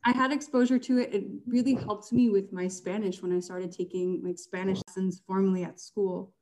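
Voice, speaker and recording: A young woman talks casually as an interview guest, often laughing lightly between thoughts. Her voice is mellow and relaxed, and she fills her sentences with "um" and "like". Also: mid-range voice, a little fast, rough recording. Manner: chatty, laughs often, many fillers.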